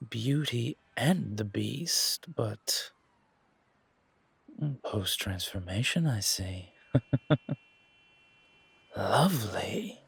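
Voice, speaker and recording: A flamboyant older charmer, acted by a man, purrs in a husky, slightly low voice. He lingers on his words and teases like a smooth club owner in a roleplay drama. The sound is dry and close. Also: animated, no echo, full character voice. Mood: flirtatious, amused. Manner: purring, theatrical, teasing, drawn-out words.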